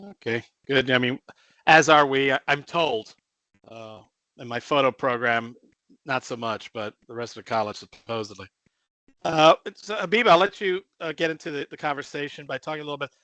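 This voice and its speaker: A man hosting an informal radio interview, speaking in a halting, self-deprecating way. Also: mid-range voice, pushed and bright, no echo. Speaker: radio show host.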